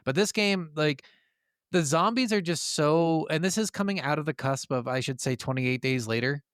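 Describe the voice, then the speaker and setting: Casual male podcast co-host, clear, higher-pitched voice. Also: normal speed, a bit bright, no room sound, clean studio sound. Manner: enthusiastic, freely profane. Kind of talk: casual gaming podcast chat.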